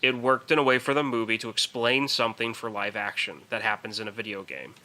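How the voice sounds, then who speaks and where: A man's voice: fairly low pitch, quick pace, pushed and bright, rough recording. A man co-hosting a podcast, talking casually and conversationally about films. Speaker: podcast co-host.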